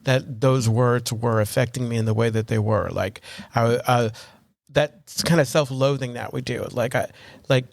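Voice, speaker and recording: A man co-hosting a recovery podcast in casual chat, talking in an animated, rambling way full of restarts and self-deprecating humor. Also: medium pitch, moderate pace, creaky, dry recording, clean studio sound. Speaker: podcast co-host.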